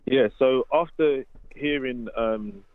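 A man's voice: medium pitch, takes it slowly, dry recording. A British man giving casual, colloquial, unscripted answers as an interview guest over the phone. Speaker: interview guest, former prisoner. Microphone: phone call. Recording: narrow, tinny phone line.

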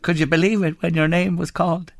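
A man's voice: on the high side, little pitch movement, fairly quick, gravelly, a little hiss or hum. A man hosting a radio phone interview, praising his guests effusively. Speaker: radio host.

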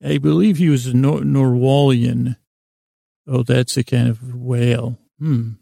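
Very creaky, rambling man. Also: medium pitch, fairly even intonation, mellow, dry recording, clean studio sound. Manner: meandering, halting, absent-minded, trailing off and doubling back. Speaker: podcast host. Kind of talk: rambling, whimsical sleep podcast monologue.

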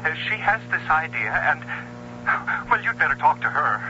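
An actor playing a flustered, anxious husband, hesitant but urgent, heard through a telephone filter in a 1970s radio drama. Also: male voice, fairly high pitch, big pitch swings, a little slow, bright, forceful tone, faint music behind, full character voice. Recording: tinny phone voice on hissy old radio.

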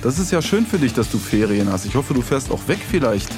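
A male podcast co-host chats casually and teases playfully. His mid-pitched voice sits over clearly audible guitar music. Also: sing-song, fast talker. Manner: playfully teasing. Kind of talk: casual chat, signing off.

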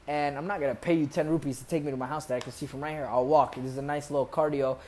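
A young American man, a podcast guest, casually telling animated stories with jokes and laughs. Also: on the high side, very fast, slight background noise. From United States. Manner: animated, joking, laughs through his stories. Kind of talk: casual conversational storytelling.

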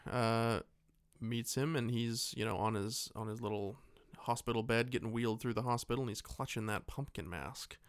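A man's voice: medium pitch, moderate pace, clear, resonant tone, no room sound, studio quality. A man co-hosting a podcast, giving a casual film recap in an irreverent, mocking way. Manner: irreverent, mocking, mimics characters' lines. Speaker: podcast co-host. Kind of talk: casual film recap chat with acted-out dialogue.

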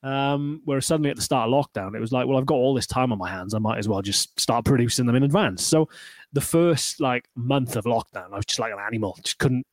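A man's voice: medium pitch, fast, no room sound. A Welsh man talking casually as a podcast guest, rambling with lots of fillers and restarts. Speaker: guitarist and YouTuber, guest.

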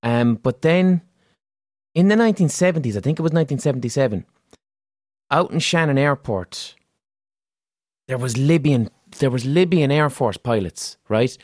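A man's voice: mid-range voice, animated, normal speed, pauses often, a little mellow, dry recording, clean studio sound. A man from Ireland with a Limerick City accent, chatting bluntly and swearing as he tells a story. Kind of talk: casual chat.

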